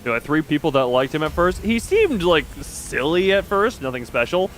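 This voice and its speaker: A man's voice: a little high, very animated, moderate pace, a bit bright, slight background noise. A man commentating live on a game stream, chatting with his viewers as he plays.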